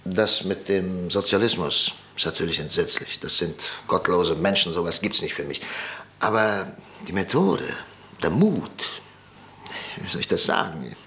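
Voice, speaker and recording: An actor playing a weary, irascible middle-aged conservative politician in a radio drama, blunt and sardonic. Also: male voice, low voice, animated, unhurried, pushed and bright, a little hiss or hum, full character voice. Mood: bitter, agitated. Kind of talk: German radio drama dialogue.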